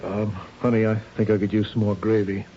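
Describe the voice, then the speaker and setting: An actor playing a reassuring, practical husband with a husky, dark voice in a radio drama. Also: male voice, on the low side, flat delivery, brisk, slight background noise, close to an everyday voice. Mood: subdued. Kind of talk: 1970s radio drama acting. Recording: dull lo-fi old radio recording.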